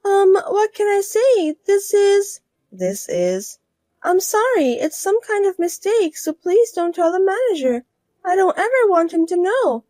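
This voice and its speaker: A woman's voice: very high voice, very animated, unhurried, warm tone, dry recording, pitched above the performer's own voice, heavily acted. A woman voicing a pushy, scheming young woman who whines and pleads. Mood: pleading. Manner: whiny and pleading. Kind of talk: storybook voice acting.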